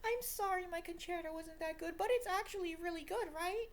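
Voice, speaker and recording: Girl doing a whiny high pitched young composer wanting praise, loud n pleading, stammery fake apologies, in a video diary. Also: a little fast. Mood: mock pleading. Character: whiny, apologetic, self-important twenty-year-old composer fishing for praise.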